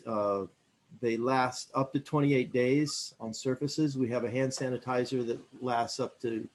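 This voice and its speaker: A man in his fifties with a mid-range voice introduces himself over a video call. He hesitates often and pads his sentences with fillers. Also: mid-range voice. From Michigan. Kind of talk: self-introduction.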